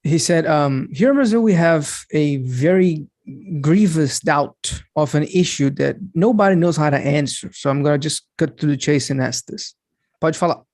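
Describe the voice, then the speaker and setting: A man interpreting live on a video call, relaying lines quickly and casually between two languages. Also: fairly high pitch, darkish tone, no echo, studio quality.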